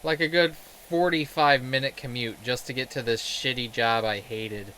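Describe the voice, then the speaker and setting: A man with a mid-pitched voice chats casually as he plays a game, wandering between stories and commentary. He swears freely and has a sarcastic, self-deprecating way of talking. There is clear noise behind his voice. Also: fairly even intonation, normal speed, sharp tone. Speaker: game streamer telling stories while playing.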